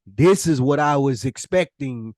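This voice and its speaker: A man's voice: medium pitch, expressive, unhurried, a little mellow, no room sound. A man co-hosting a podcast in casual, candid conversation, speaking earnestly and emphatically with plenty of swearing. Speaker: podcast co-host.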